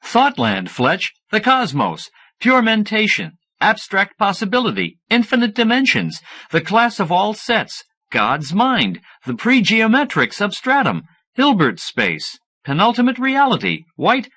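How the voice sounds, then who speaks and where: A man voicing an excitable, smug mad scientist who lectures eagerly in an audiobook. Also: high-pitched, unhurried, few pauses, pitched above the performer's own voice, heavily acted. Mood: rapturous, grandiose. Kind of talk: audiobook character dialogue.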